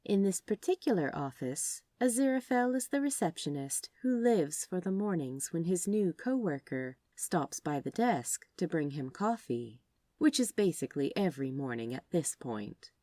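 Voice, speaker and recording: A woman reading fan fiction aloud as scripted, expressive storybook narration. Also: lowish voice, moderate pace, no echo. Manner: expressive read-aloud delivery. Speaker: podfic narrator.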